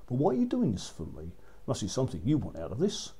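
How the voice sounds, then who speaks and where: Guy doing a cocky soldier from a kids story, blunt n familiar, mellow but lively. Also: mid-range voice, fast talker, husky, heavily acted. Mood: questioning. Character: cocky male soldier, blunt and bold fairy-tale adventurer.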